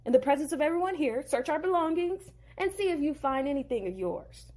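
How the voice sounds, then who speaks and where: A woman's voice: high-pitched, lively intonation, a little fast, a little mellow, voice pushed up. A woman doing an aggrieved young shepherd. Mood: earnest. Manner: pleading, then protesting. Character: wronged young man, aggrieved husband and shepherd.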